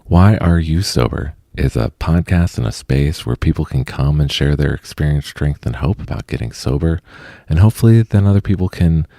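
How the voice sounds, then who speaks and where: Super deep guy hosting a podcast, dark mellow voice, dry close sound. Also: expressive, normal speed, creaky, no room sound. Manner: friendly, many fillers, rises in pitch when chatting. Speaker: podcast host. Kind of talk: casual interview and intro.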